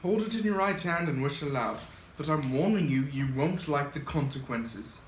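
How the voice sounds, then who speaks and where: A man's voice: a little high, lively intonation, normal speed, close to an everyday voice. A young man in an amateur school play acting a reluctant, wary middle-aged army sergeant major. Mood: wary, warning. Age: young adult. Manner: reluctant, warning. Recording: echoey room, faint noise.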